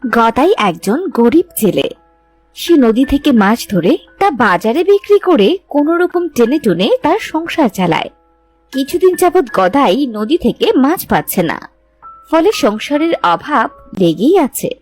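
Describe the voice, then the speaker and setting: A woman's voice: sits high, sing-song, unhurried, faint music behind. A woman narrating a scripted fairy tale for a cartoon. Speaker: story narrator.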